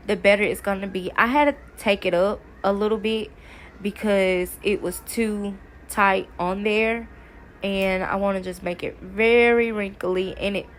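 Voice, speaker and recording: Lady crafting and chatting to viewers, bit stuffy from a cold, faint hiss behind. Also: medium pitch. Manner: chatty, addresses viewers as y'all. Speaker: craft video creator. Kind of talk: casual DIY tutorial chat. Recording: faint background noise.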